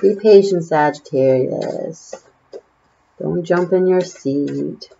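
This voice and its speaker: Casual tarot reader, a woman with an Israeli accent and a slightly low voice, rambling through fillers on a muffled home recording. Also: slow, vocal fry, warm tone. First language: Hebrew. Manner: chatty and musing, trailing off with fillers. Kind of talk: casual tarot reading to camera.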